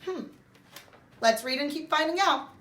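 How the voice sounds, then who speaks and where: A woman's voice: high voice, expressive, measured pace, fairly bright tone. A woman teacher doing a read-aloud lesson for young children, explaining simply and addressing them directly. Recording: roomy echo.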